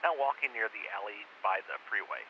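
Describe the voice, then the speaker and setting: A man's voice: on the low side, brisk. A man working as a police dispatcher, reading out calls in clipped procedural phrases over a police radio. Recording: muffled hissy police scanner radio.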